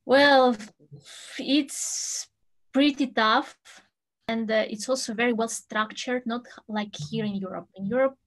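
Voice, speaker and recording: A woman in her thirties from Russia, a native Tatar speaker, talking through an interview in English that is not her first language, thoughtful and quick to laugh, recorded from home on a webcam. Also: on the high side, fairly even intonation, rough recording. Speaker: pianist. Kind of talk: interview answers. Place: home room with a piano, indoors.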